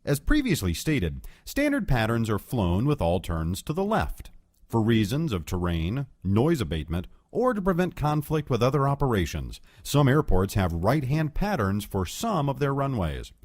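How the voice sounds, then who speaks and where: Man narrating a lesson, very animated intonation. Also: medium pitch, no room sound, clean studio sound. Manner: clear, measured explaining. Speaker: instructional narrator. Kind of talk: scripted educational narration.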